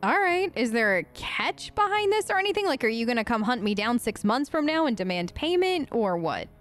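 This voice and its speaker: A woman's voice: on the high side, very animated, fairly quick, fairly bright tone, soft background music. A woman doing casual, improvised role-play commentary as a let's play host, conversational, hesitant and often questioning.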